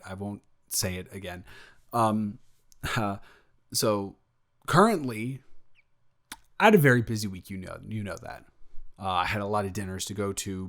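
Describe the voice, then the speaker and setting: A man's voice: lowish voice, fairly bright tone. A man improvising an audio roleplay as a flustered, evasive roommate who talks straight to the listener, stammering and joking as he rambles. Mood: sheepish, rambling. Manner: rambling, stammering, self-interrupting, playful.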